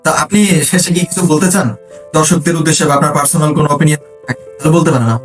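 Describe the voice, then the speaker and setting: A man's voice: on the high side, steady tone, fast, soft background music. A man hosting a casual interview, putting questions conversationally to his guest. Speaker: interviewer, host.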